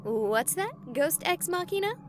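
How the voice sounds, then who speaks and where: High, animated young ghost-hunting streamer. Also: female voice, unhurried, background noise, exaggerated voice. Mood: wry, spooked. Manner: theatrical, wisecracking. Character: chatty, jokey young woman ghost-hunting on a livestream. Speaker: live-streaming ghost hunter. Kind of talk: dramatic visual novel voice acting.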